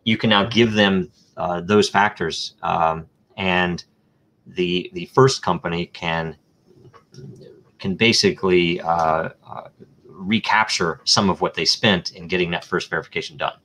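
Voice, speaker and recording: A man with a very low voice explains a technical idea as an interview guest. He thinks aloud, often filling pauses with ums and uhs and restarting his sentences. He works through concrete examples one step at a time. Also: fairly bright tone, a little hiss or hum, rough recording. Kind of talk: live online interview answers.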